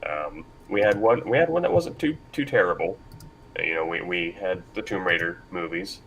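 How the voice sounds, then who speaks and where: A man's voice: deep voice, expressive, moderate pace, a bit bright, slight background noise, poor audio. A man moderating a casual panel discussion, speaking informally and a little hesitantly.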